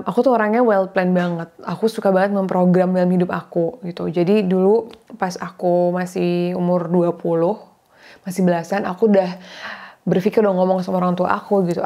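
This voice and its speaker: Earnest woman in her thirties, mellow voice. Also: mid-range voice. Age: 30s. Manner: earnest and confiding, with casual slang and English words mixed in. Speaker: vlogger sharing faith and marriage advice. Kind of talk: casual heartfelt talk to viewers.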